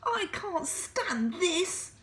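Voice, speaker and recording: A woman voicing a tired, exasperated male father bear who wails his complaints slowly in a high, strained voice. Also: sing-song, a bit bright, roomy sound, heavily acted. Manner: slow, wailing complaint, rising high.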